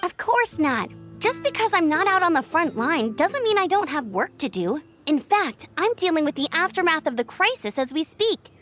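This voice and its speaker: Casting: eager girl knight for anime-style game, high and lively, expressive. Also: normal speed, hardly any pauses, a little hiss or hum, heavily acted. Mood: explaining. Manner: perky, earnest, expressive. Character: energetic young female knight scout, earnest and friendly. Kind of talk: English video game character acting.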